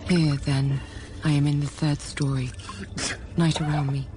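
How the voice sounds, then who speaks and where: An actress playing a dutiful young governess in a period radio drama, speaking in a measured, restrained, formal way. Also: female voice, low-pitched, flat delivery, background noise. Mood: hushed, tense. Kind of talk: period radio drama acting and first-person narration.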